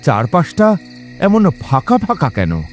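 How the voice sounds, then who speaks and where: A man plays a bold, righteous wandering tantric in a horror audio drama. His voice is a little high for a man, earnest and resolute, over soft background music. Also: huge pitch swings, unhurried, full character voice. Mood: curious.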